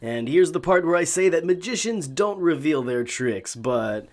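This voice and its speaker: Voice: mid-range voice, lively intonation, moderate pace, a little mellow, close to an everyday voice. An actor playing a prim, dry, calculating male magician in an audio drama, formal and precise. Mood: coy, measured.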